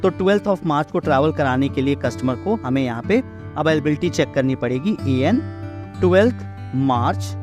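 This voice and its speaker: A man with an Indian accent giving a step-by-step software lesson in an explanatory way, as an instructor. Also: a little high, animated, normal speed, music in the background. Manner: explanatory, step by step, checking understanding. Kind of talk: software tutorial narration mixing Hindi and English.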